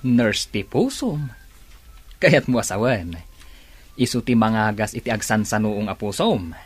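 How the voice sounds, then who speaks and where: Husky, animated young Ilocano suitor. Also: male voice, fairly low pitch, measured pace. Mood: bantering. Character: young man, smooth-talking teasing suitor and buddy. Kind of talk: Ilocano radio drama acting.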